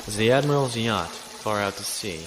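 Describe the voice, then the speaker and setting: Male actor as sci-fi lieutenant, low pitch, formal, polite, dry, unruffled, audio drama, clear background noise. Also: animated, moderate pace, lightly acted. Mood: dry, confident. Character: composed, courteous noble space-navy lieutenant on the run. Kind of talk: science fiction audio drama acting. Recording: noisy ambient background.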